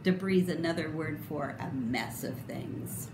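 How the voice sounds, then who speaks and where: Animated middle-aged woman reading to children. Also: sits low, a little slow. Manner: theatrical, emphatic, sing-song delivery. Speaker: storybook reader. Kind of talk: expressive children's read-aloud. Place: indoor room. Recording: roomy echo, clear background noise.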